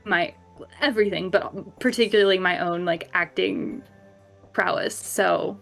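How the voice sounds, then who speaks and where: A woman actor chatting casually on a panel in a halting, self-deprecating way. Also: on the low side, fairly even intonation, vocal fry, a bit bright, faint music behind. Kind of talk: casual chat.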